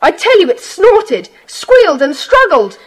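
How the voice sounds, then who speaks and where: A woman playing an earnest, adventurous British schoolboy in a children's audio drama, speaking in an animated way. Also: very high voice, a little slow, full character voice, low-quality recording. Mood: earnest, intrigued. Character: earnest, adventurous schoolboy telling his friends things.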